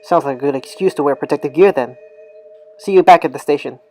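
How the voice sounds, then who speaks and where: A man playing a calm, thoughtful senior police investigator in an amateur audio drama. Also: a little high, fast talker, faint music behind, natural voice. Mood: thoughtful, measured.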